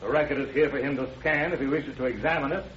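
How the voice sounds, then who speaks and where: A man's voice: mid-range voice, fast talker, a bit bright, natural voice. A man playing a formal, methodical district attorney in an old-time radio drama. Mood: assertive. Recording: muffled, noisy vintage radio recording.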